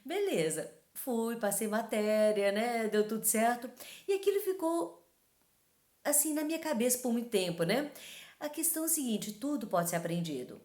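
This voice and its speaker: A woman's voice: fairly high pitch, animated, moderate pace, darkish tone. Woman in a roomy space. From Taiwan. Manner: conversational, frequent tag questions. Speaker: host. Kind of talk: talking-head advice talk. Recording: roomy reverberant room.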